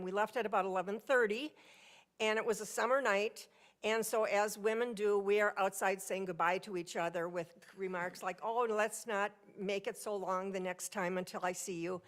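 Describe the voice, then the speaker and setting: A woman speaking at a podium microphone in council chambers, telling a personal story during public comment in a loud, chatty voice. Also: medium pitch, steady tone, fairly quick. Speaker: resident speaking at public hearing.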